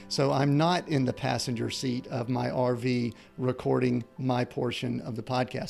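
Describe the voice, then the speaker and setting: A middle-aged man with a gravelly, slightly creaky voice at a middle pitch hosts a podcast. He talks conversationally and with enthusiasm, often restarting his sentences. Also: darkish tone. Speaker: podcast host and business coach. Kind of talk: podcast interview. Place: family home indoors.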